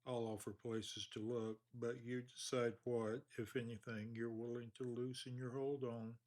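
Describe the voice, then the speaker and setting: A man leading a guided meditation, speaking slowly and calmly with long pauses. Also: medium pitch. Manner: slow, calm, measured delivery with long pauses.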